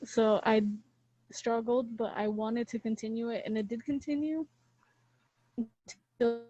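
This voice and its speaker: A woman answering as a student panelist, telling her story in a measured way. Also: a little high, steady tone, warm tone, no echo, muffled recording.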